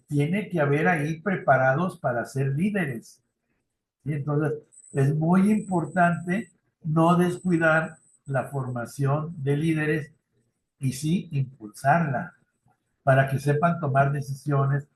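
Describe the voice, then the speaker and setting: Mexican-accented middle-aged male trainer, chatty, fairly high voice, echoey room over a video call. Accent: Mexican Spanish. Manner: conversational, frequent tag questions and personal anecdotes. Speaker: youth ministry trainer. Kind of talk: online formation talk.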